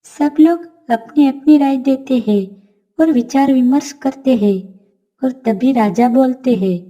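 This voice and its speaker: A woman's voice: sits high, measured pace, dark tone, slightly rough, lo-fi sound. A woman narrating a scripted children's bedtime fairy tale. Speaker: story narrator.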